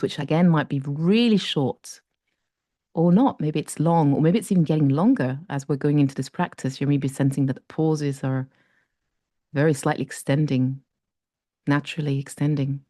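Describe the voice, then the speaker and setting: A woman with a low, mellow voice leads a breathing meditation. She talks slowly and softly and leaves long pauses between phrases. The sound is close and dry. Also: dry recording. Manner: slow, soft and gentle, with long pauses. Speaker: meditation guide.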